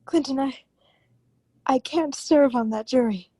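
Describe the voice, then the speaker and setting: A woman's voice: sits high, unhurried, dark tone, dry recording, clean studio sound. A woman playing an anxious, secretive politician's wife in a radio melodrama, speaking hesitantly and breaking off her sentences. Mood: distressed, pleading. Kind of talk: old-time radio drama acting.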